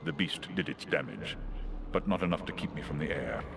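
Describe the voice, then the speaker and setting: An actor playing a noble, wounded talking eagle in a fantasy game, stately and measured, with a very deep, gravelly voice. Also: male voice, lively intonation, crowd noise, full character voice. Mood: grave, resolute. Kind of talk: fantasy game cutscene acting. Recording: loud background noise.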